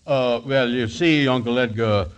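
A man's voice: mid-range voice, a little slow, clean tone, lightly acted. A man playing a pompous, bumbling schemer who stammers his way out of trouble, in a 1940s radio comedy. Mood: blustering. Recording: noisy old radio broadcast.

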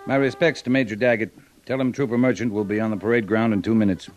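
A man's voice: fairly low pitch, steady tone, quick pace, close to an everyday voice. A man playing a stern, curt cavalry captain in an American radio western, clipped and commanding. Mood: brisk, commanding. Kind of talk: old-time radio western acting. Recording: dull vintage radio recording.

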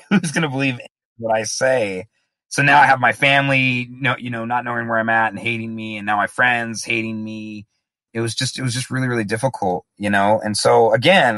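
A man's voice: medium pitch, bright, forceful tone, dry recording. Candid man telling his story, dry sound. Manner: candid, reflective, plain-spoken. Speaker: guest in recovery. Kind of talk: personal storytelling in an interview.

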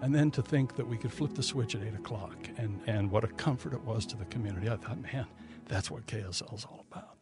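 An older American man speaking reflectively and conversationally in an interview, often halting and repeating words. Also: lowish voice. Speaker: radio news veteran interviewee. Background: music under the voice.

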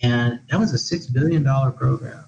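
A man's voice: mid-range voice, moderate pace, creaky, a little mellow, lo-fi sound, a little hiss or hum. A man who is a guest expert on an education podcast, talking in long, discursive answers with many restarts. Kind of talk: podcast interview. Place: living in Portugal.

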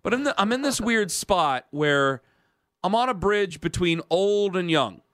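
A man's voice: sits high, animated, fairly bright tone, no echo, clean studio sound. Rambling man in his thirties. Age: 30s. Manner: rambling, self-mocking, quick asides. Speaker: radio sports talk host. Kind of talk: casual radio banter. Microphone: studio mic.

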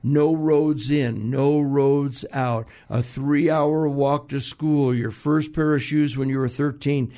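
A man's voice: mid-range voice, fairly even intonation, slow, few pauses, mellow. A male radio host interviewing a guest, jokey and talkative, laughing often and asking long leading questions. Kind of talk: casual interview.

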